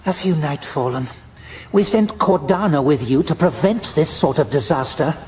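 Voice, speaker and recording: A man's voice: fairly high pitch, expressive, moderate pace, darkish tone, pitched above the performer's own voice, natural voice. A man voicing a cold, stern female elf warden. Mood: sharp, dismissive. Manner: cold, accusing, clipped. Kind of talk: audiobook character voice.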